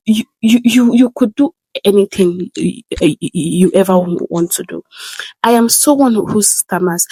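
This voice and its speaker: A young woman talks slowly and earnestly, addressing a viewer by name. She stammers heavily, repeating and blocking on sounds. Her voice sits close and dry, with no room around it. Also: medium pitch, a little mellow, slightly rough, no echo, low-quality recording. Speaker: content creator. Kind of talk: casual vlog advice.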